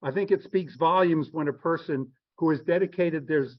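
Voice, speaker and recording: A man's voice: a little high, moderate pace. Earnest man introducing a speaker. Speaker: event host introducing the speaker. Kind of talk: formal introduction. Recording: dull lo-fi sound.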